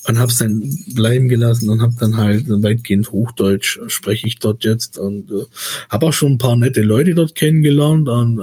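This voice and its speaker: Husky man with Swabian accent. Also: mid-range voice, warm tone, dry recording. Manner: rambling, chatty, many hesitations. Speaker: podcast host. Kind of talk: casual chat.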